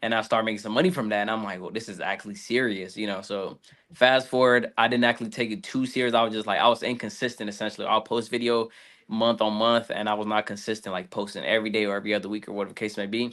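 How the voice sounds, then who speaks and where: A man's voice: fairly low pitch, steady tone, quick pace, a bit bright. A man in his twenties casually telling his own story as a content creator in an interview. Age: 20s. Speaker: guest, social media content creator.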